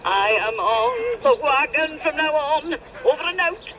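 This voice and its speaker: An actress playing a grand, gushing singer in her late sixties, theatrical and excitable, in a radio play. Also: sits high, measured pace. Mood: excited, elated. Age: older. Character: excitable, grand older female singer planning a comeback.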